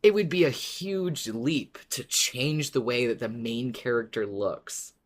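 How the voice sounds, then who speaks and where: A podcast co-host chatting casually and enthusiastically about a favourite story, quick to giggle. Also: mid-range voice. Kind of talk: casual fan podcast discussion.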